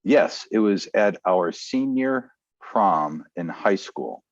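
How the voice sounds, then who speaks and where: A man's voice: low-pitched, lively intonation, takes it slowly, no room sound. A middle-aged man, an educator, reflectively telling his life story as a podcast guest. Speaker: educator and entrepreneur. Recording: dull, lo-fi audio.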